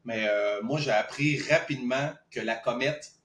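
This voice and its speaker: A man's voice: medium pitch, normal speed, fairly bright tone. A man with a Québécois accent co-hosting a casual podcast, joking and playful, with repeated words. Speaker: podcast co-host. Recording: roomy echo.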